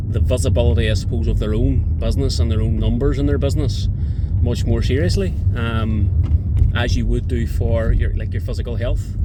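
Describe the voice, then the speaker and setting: An accountant in his forties talking casually to camera in a thoughtful, hesitant way with many filler pauses, recorded in a car on the road. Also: mid-range voice. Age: 40s. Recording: loud road and car noise behind. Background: vehicle and traffic noise.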